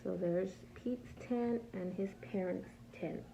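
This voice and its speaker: A woman reading a picture book aloud as a preschool teacher, pausing to ask small children simple questions and patiently explaining the answers. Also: medium pitch, creaky, dull audio, a little hiss or hum. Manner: animated, asks simple questions and answers them herself.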